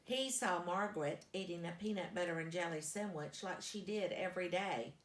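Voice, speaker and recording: A woman reading a children's story aloud as a storyteller, with deliberate, emphatic phrasing. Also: fairly low pitch. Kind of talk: expressive children's storybook narration. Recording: echoey, roomy sound.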